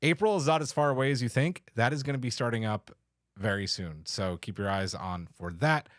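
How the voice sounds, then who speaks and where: A man with an average-pitched voice hosts a podcast in an informal, slangy way. He chats casually and swears mildly now and then, recorded close and dry. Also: fairly quick, no echo. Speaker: podcast host. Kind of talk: casual chat, wrapping up a podcast.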